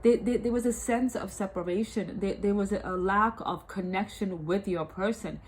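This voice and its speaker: A woman's voice: medium pitch, normal speed, slight background noise. A woman with a cold and a blocked nose, giving a tarot reading. She often repeats and restarts her words and keeps checking whether the listener understands. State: stuffed up from a cold. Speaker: tarot reader.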